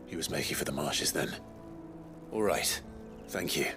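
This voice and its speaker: Very deep, brooding young man. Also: over music. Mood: grave, pensive. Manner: measured, terse. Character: brooding young man, bearer and reluctant helper. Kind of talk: video game voice acting.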